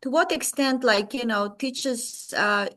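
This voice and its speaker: A woman's voice: a little high, unhurried, fairly bright tone. A woman taking part in a webinar who asks a question in a hesitant way, full of fillers, over a video call. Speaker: webinar participant asking a question.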